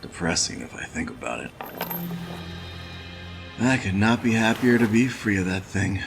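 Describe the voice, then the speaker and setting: An actor playing a weary, dry-witted mechanical engineer who mutters wry, hesitant asides in a noir video game. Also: male voice, lowish voice, big pitch swings, normal speed, gravelly. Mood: musing, subdued. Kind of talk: noir point-and-click game acting. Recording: music behind the voice.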